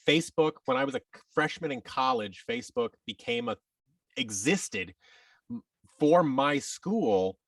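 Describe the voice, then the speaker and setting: A man in his thirties speaks in casual interview conversation with a lively, swinging pitch and some gravelly fry. He rambles and gives firm opinions, a little way off the mic in a dry space. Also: dry recording, distant mic. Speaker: podcast guest, audio drama producer and actor.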